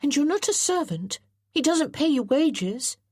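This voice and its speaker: A woman's voice: high-pitched, big pitch swings, unhurried, darkish tone, no echo, studio quality, pitched above the performer's own voice. A woman voicing an earnest, well-bred young boy who speaks in a precise, formal way. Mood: pleading.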